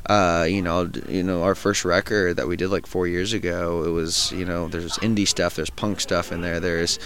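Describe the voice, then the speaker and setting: A man's voice: sits low, little pitch movement, moderate pace, a bit bright. A young man who sings in a punk band, answering interview questions in a rambling, casual way with lots of fillers. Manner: rambling, many fillers and restarts. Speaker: lead vocalist and guitarist of a punk band.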